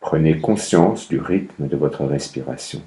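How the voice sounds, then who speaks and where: Very deep, slow male meditation guide. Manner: calm, measured, with long pauses. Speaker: yoga nidra meditation guide.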